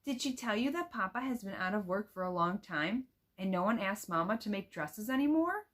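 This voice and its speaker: Woman reading as an eager young letter-writing girl, expressive. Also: mid-range voice, a little fast. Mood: earnest. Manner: expressive, careful storybook reading.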